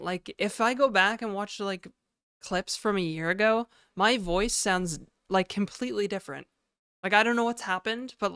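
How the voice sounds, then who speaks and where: A hoarse woman streamer thinking aloud casually as she codes live, swearing and full of fillers. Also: mid-range voice, fairly bright tone, dry recording, clean studio sound. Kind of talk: live coding stream commentary. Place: home apartment.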